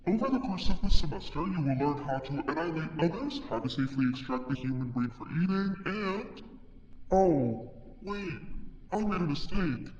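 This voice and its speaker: A man's voice: on the high side, animated, normal speed, darkish tone, lo-fi sound, close to an everyday voice. A man voicing a gleefully cruel monster schoolteacher in a video game, theatrical and swinging between chirpy and threatening. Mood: giddy. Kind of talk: video game character acting. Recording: echoey hall, distant, some noise.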